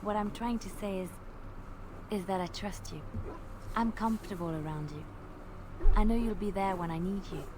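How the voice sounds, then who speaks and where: Woman acting a gentle shy bard girl from a fantasy game, tender n playful, noisy audio. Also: medium pitch, normal speed, long pauses, lightly acted. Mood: bashful. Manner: soft-hearted, hesitant, earnest. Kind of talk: fantasy video game dialogue. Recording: clearly noisy game playback.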